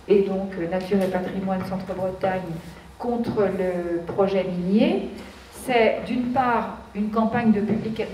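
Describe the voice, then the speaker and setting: A woman's voice: mid-range voice, mellow. A woman addressing a public meeting, earnest with ironic asides and frequent restarts. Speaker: association speaker at a public meeting. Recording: echoey hall, distant mic, faint background noise.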